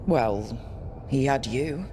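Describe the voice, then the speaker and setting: A performer playing a steady, perceptive team leader in a fantasy video game, speaking directly and conversationally. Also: mid-range voice, slow pace, noisy background. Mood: thoughtful. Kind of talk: naturalistic video game acting.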